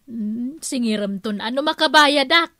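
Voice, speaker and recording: A woman's voice: high-pitched, unhurried, sharp tone, dry recording, clean studio sound. An actress playing a plain-spoken, gossipy village neighbour woman in a radio comedy drama. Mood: matter-of-fact.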